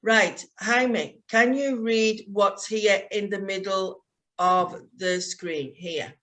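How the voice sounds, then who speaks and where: A woman leads an English conversation class, her voice bright and forward. She speaks very slowly and clearly, pausing on plenty of "uh"s to keep learners with her. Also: medium pitch, steady tone. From United Kingdom. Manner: slow and clear, with many fillers. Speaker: English teacher and host.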